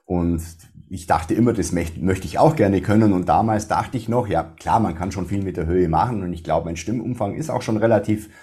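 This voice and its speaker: A man with a deep bass-baritone voice and a faint Swabian accent, talking in an interview in a measured way with many restarts. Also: warm tone. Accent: slight Swabian. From Swabia, Germany. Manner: measured, with frequent restarts and fillers. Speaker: funeral speaker. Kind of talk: casual interview talk.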